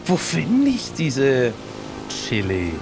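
A man plays a gruff and cheeky pirate in an adventure audio play. He talks slowly and tersely with big swings in pitch, over some music. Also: wildly swooping pitch, heavily acted. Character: gruff, cheeky, manly pirate after hot chilis.

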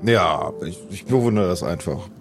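A man's voice: low voice, expressive, normal speed, gravelly, over music. A man playing along in an improvised audio adventure, speaking casually in character. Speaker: player in an improvised audio play. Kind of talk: casual improvised role-play.

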